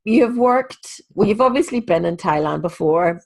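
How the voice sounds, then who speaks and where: A woman's voice: medium pitch, animated, normal speed, creaky. A woman hosting an interview podcast, sounding, stuffy with a cold, chatty and full of advice. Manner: chatty, friendly advice-giving. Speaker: podcast host. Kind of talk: casual interview chat.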